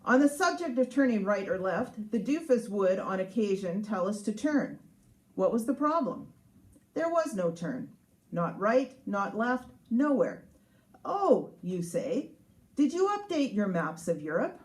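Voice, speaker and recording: A woman's voice: mid-range voice, sing-song, a little slow. A woman reads her humorous memoir aloud as its author, in a sarcastic, emphatic, mock-exasperated manner. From Canada. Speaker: author reading from own book. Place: live reading at a showroom.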